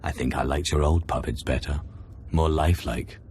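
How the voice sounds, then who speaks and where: A man playing a cool, sardonic dark lord in a video game, with dry, wry confidence. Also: extremely low pitch, fairly even intonation, moderate pace, a little hiss or hum. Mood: wry mockery. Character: cool, sardonic dark Sith inquisitor, master of ghosts.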